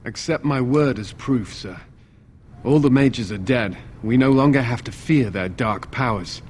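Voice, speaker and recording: A loyal, solemn companion from a fantasy game, performed by a man, talks in measured, formal phrases. The voice is medium in pitch, with faint noise behind. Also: darkish tone, lightly acted.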